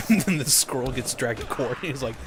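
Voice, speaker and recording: A man's voice: mid-range voice, animated, normal speed. A man co-hosting a fan fiction reading, riffing and joking casually in conversation. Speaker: co-host reacting to a reading. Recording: clear background noise.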